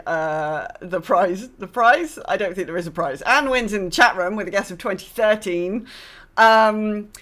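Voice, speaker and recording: A woman's voice: medium pitch, fairly bright tone. Hesitant, chatty British woman podcaster. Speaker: podcast host. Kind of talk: casual chat.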